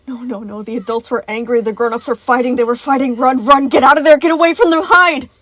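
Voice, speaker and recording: Woman acting as scared young boy, high, tearful, panicked, repeating himself, storybook character. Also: fairly even intonation, fast talker, a bit bright, dry recording. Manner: panicked, repetitive, apologetic.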